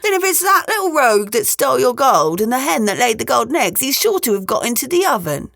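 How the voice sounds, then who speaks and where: A woman's voice: a little high, very animated, quick pace, creaky, a bit bright, dry recording, studio quality, voice pushed up, heavily acted. A woman voicing a fussy, scolding, coaxing ogre's wife in a fairy tale, with a sing-song, chiding manner.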